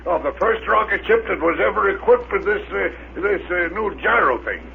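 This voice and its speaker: A man's voice: sits high, animated, normal speed, gravelly, exaggerated voice. Bumbling, stammering space crewman, old radio. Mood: sheepish bluffing. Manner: stammering, flustered, ungrammatical, folksy. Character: bumbling, bluffing, good-natured adult rocket crewman. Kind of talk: 1930s radio adventure serial acting. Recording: noisy, narrow-band 1930s radio recording.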